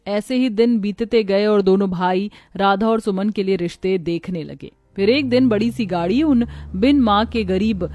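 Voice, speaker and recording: A woman's voice: mid-range voice, moderate pace, few pauses, darkish tone, dry recording. A woman narrating a scripted, melodramatic family story. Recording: music behind the voice.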